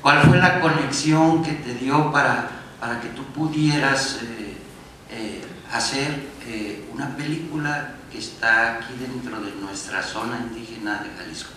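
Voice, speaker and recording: A man in his fifties, politely asking halting questions from the audience. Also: medium pitch, bright, forceful tone. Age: 50s. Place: festival screening hall. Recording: echoey hall, distant mic, faint noise.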